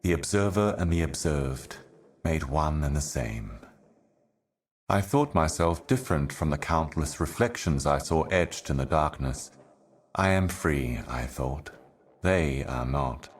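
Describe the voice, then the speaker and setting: Game role: ancient eternal envoy of darkness, bottomless low voice, slow, solemn, portentous delivery. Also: male voice, expressive. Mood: brooding. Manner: grave, brooding, measured, portentous. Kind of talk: scripted game monologue, cryptic poetic narration.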